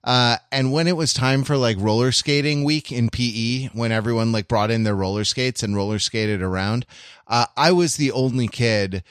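A man co-hosting a casual chat, telling anecdotes in a wry, halting way. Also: mid-range voice, smooth, dry recording, studio quality. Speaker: podcast host.

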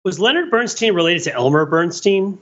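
A man talking through film and music on a discussion podcast, analytical and rambling, with animated asides. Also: sits high, fairly quick, dull audio. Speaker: podcast panelist.

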